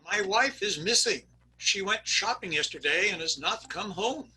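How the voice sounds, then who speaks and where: A man's voice: high-pitched, lively intonation, normal speed, pushed and bright, slight background noise, heavily acted. A man playing a flustered middle-aged husband who hems and haws about his missing wife, read over a video call for a readers theater skit. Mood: vague. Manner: hesitant and vague about his wife, then eager and detailed about his truck.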